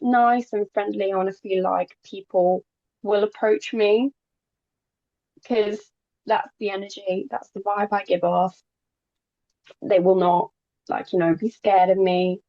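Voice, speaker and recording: Podcast guest: young woman, Polish native speaker, casual and halting delivery, mid pitch, dry recording. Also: dark tone, dry recording, muffled recording. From Poland, moved to England at ten. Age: young adult. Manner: halting, occasional stumbles over words. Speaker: podcast guest, pageant queen. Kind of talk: casual interview chat.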